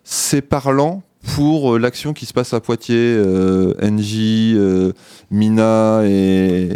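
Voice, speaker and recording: A man hosting a community radio show, chatting casually and sounding tired, pausing often on filler sounds into a studio mic. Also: fairly low pitch, warm tone, clean tone, dry recording. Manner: hesitant, many filler pauses. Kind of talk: casual on-air chat. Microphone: studio microphone.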